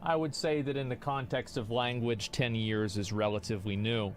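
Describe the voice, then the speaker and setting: A man's voice: medium pitch, steady tone, normal speed. A young man streaming, rambling and arguing his views in casual talk. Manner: rambling, argumentative, restarts often. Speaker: streamer reacting to chat.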